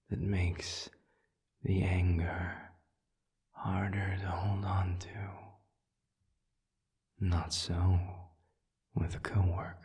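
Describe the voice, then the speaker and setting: A suave demon, played by a man, speaks in a very low, breathy half-whisper. He is extremely slow and deliberate, leaving long pauses between formal, carefully chosen words. His patient, soothing counsel suits an intimate audio roleplay. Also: a little mellow. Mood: calm, analytical. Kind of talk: audio roleplay, intimate one-sided dialogue.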